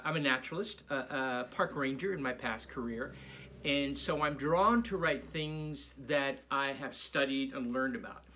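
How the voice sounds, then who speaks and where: A man's voice: medium pitch, bright, forceful tone. An older man, a children's author, talking casually and encouragingly as he introduces a reading of his work.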